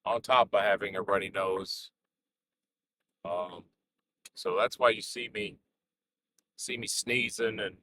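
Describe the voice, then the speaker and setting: A man's voice: fairly low pitch, noisy background. A man hosting a trucker vlog, chatting casually in his truck cab while he drives. He is congested with a sore throat, and he curses at other drivers. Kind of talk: casual chat while driving. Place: inside a semi truck cab on the road.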